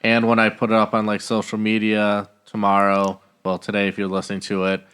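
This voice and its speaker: Male cohost just chatting, lowish voice, nice and clear. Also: monotone, fairly quick, a bit bright. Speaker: podcast co-host. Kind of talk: casual chat.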